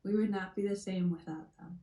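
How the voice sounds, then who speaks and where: A woman leading a gentle guided meditation in a soothing, deliberate manner, leaving long pauses. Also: medium pitch, fairly even intonation. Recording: roomy, echoey sound.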